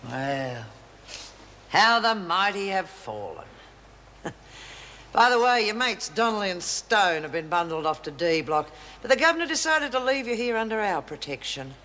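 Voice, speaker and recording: A cold prison guard, bossy and mocking, faint tv hiss. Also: female voice, mid-range voice, very animated, normal speed, a bit bright. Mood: taunting. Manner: sneering, commanding. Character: cold, taunting female prison officer. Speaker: prison officer.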